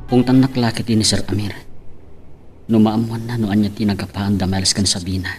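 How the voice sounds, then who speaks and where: A man's voice: fairly low pitch, flat delivery, fairly quick, soft background music, lightly acted. A man acting a flustered, deferential office manager in an Ilocano radio drama. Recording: faint background noise.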